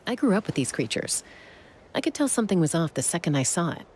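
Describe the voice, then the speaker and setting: A woman's voice: on the low side, big pitch swings, fast, darkish tone, slight background noise. A woman playing a cool, composed young warrior in a video game, speaking in a laid-back, assured way. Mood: frank. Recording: music behind the voice.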